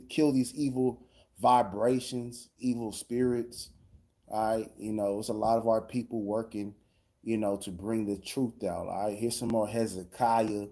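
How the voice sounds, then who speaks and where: A man's voice: mid-range voice, mellow. A man hosting a livestream, chatting loosely between songs with plenty of "you know" fillers. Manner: loose, laid-back talk with many fillers. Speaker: livestream host. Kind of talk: casual chat between songs.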